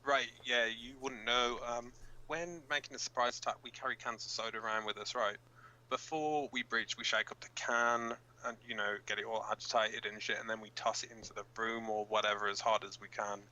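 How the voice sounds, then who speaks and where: A man playing a flustered, blunt resistance fighter who co-hosts a radio broadcast in an amateur audio drama, speaking informally and haltingly. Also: medium pitch, steady tone, sharp tone, poor audio. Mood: earnest explaining. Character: flustered, sweary young male resistance fighter reading radio bulletins. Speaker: radio co-host in a fiction drama.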